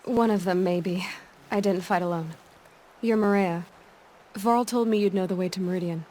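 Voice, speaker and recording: A woman acts out a young huntress heroine in a game drama, determined and self-reliant. She speaks in short, cool, assured phrases at a medium pitch, over faint background noise. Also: normal speed, dark tone, close to an everyday voice. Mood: confident. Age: young adult. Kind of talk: video game dramatic acting. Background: faint ambient noise.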